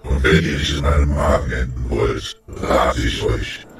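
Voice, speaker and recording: A huge fire-breathing beast from a fantasy audio drama, irritated and menacing. The voice is gravelly and slow, with formal threats. It sounds distant and echoing. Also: male voice, very deep voice, steady tone, very noisy, full character voice. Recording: echoing distant voice under loud music.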